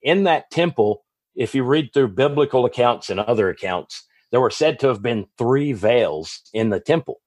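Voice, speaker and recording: A man's voice: mid-range voice, animated, normal speed, a little mellow, no room sound. A man with a Texas accent, a guest author giving long, rambling answers in a podcast interview, often restarting and correcting himself. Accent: Texan.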